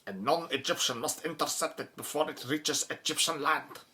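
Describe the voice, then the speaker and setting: Audio drama: solemn, foreboding official played by an actor, formal diction, sharp bright tone. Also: mid-range voice, moderate pace, natural voice. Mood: grave, urgent warning.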